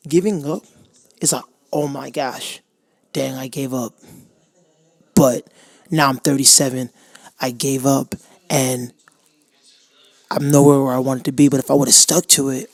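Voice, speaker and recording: A man's voice: mid-range voice, no echo. A man in his twenties hosting a podcast, giving a rambling, casual motivational monologue. He often cuts himself off and acts out his own inner voice. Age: 20s. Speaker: podcast host.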